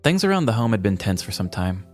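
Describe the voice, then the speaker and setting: A man with a low voice narrates a scripted comedy tale. He delivers it with mock drama as a podcast storyteller, over a bed of music. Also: very animated, fast.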